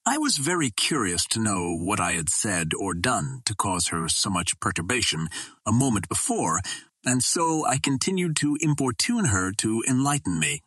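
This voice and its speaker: Male narrator for classic adventure fiction: lowish, slightly rough voice, measured and earnest, recorded dry. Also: very animated, a bit bright, no echo. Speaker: audiobook reader. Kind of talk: scripted first-person narration of an adventure novel.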